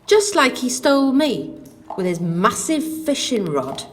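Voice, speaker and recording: A woman's voice: a little high, sing-song, measured pace, a little hiss or hum. A woman playing a plaintive, indignant talking goose held captive in an audio pantomime, with theatrical delivery. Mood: matter-of-fact. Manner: theatrical, exclamatory. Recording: long echoing dungeon reverb.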